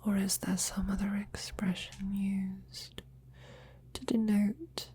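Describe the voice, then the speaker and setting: Woman acting breathy, slow-spoken, teasing lady knight. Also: medium pitch, little pitch movement, warm tone. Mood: teasing, flirtatious. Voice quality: breathy, husky, often whispering. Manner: slow, flirtatious, teasing, courtly phrasing. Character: devoted, teasing female knight courting a dragon princess. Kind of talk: intimate roleplay audio, ASMR.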